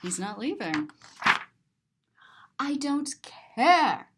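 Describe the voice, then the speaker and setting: Female children's storyteller, high voice, very slow delivery, sing-song and expressive, stretching key words for young listeners. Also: heavy background noise. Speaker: children's story reader and teacher. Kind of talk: animated read-aloud picture book storytelling for children.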